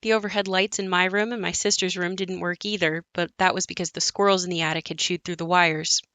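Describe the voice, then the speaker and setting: A woman performs a grown daughter looking back on a run-down family house in an audio play. Her voice is on the low side and recorded dry and close. She tells it like a reflective monologue, with wry understatement. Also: a bit bright, no room sound, natural voice. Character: wry grown daughter narrating memories of her crumbling childhood home.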